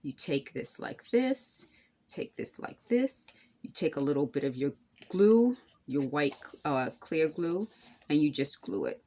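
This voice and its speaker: A woman with a slightly low voice talks viewers through a hands-on craft. She is casual and chatty, explaining step by step and wandering a bit as she goes. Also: very animated, a little slow, mellow. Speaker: craft tutorial host.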